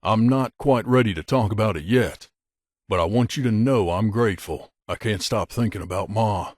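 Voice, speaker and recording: A man voicing an earnest, wounded wasteland companion in a video game, speaking sincerely and emotionally with hesitant pauses. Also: on the low side, a little mellow, no echo, studio quality, lightly acted. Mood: tender, sincere.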